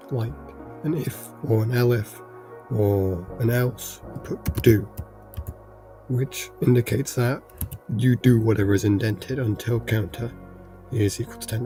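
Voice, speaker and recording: A man with a cold, his voice hoarse and congested, explaining programming in a rambling casual tutorial. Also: medium pitch. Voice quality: gravelly, congested. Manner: rambling, restarts with fillers.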